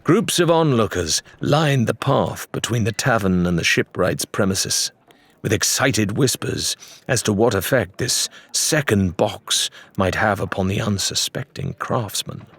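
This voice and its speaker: A man narrates an audiobook in a dramatic storytelling style. His voice is low and gravelly, unhurried, and recorded dry and close. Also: animated, a bit bright, no room sound.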